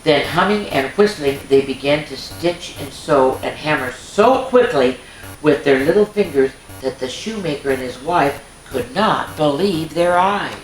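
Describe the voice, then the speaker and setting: A woman's voice: low-pitched, animated, unhurried. A woman hosting a children's storytime, reading a picture book aloud and adding homely, chatty asides for the young listeners. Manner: homely, chatty, exclaims and points things out to children. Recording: echoey room with background music.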